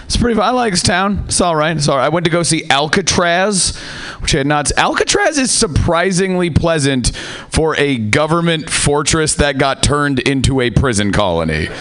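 A man's voice: a little high, very animated, moderate pace, a bit bright. A young man from Seattle performing a stand-up set on a club stage, sardonic and laid-back, trailing off into filler words. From Seattle, USA. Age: young adult. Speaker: stand-up comedian. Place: comedy club stage, live audience.

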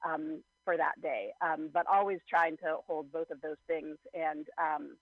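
Measured man with a slightly raised pitch. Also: fairly even intonation, dry recording. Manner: thoughtful, measured, many fillers. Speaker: guest. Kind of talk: conversational interview answers. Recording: slightly dull, lo-fi sound.

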